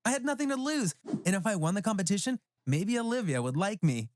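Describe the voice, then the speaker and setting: A narrator reading a scripted first-person story for an animated tale. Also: mid-range voice, fast talker. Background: background music.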